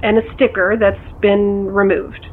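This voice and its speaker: An actress playing a skeptical, teasing confidante in a naturalistic audio drama, wry and questioning, heard through a phone call. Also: female voice, medium pitch, little pitch movement, unhurried. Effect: phone call filter. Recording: dull phone call over vehicle hum.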